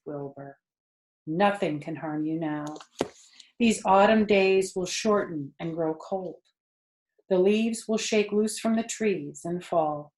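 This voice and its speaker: A woman voicing a tired, wise, motherly female spider in a children's storybook, speaking calmly and gently in a measured way. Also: medium pitch, reverberant. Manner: calm, gentle, measured, matter-of-fact. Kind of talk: children's storybook character reading.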